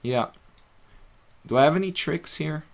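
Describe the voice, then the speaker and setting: A man's voice: a little high, measured pace, gravelly. A middle-aged man casually commentating his own chess games on a live stream, thinking aloud with many fillers.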